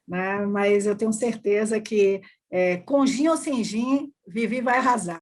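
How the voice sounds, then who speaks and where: Woman, middle-aged, Bahian Brazilian accent, slightly husky, effusive, discursive, interview guest. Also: mid-range voice, unhurried, darkish tone. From Salvador, Bahia, Brazil. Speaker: podcast guest, corporate HR executive. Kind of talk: conversational interview.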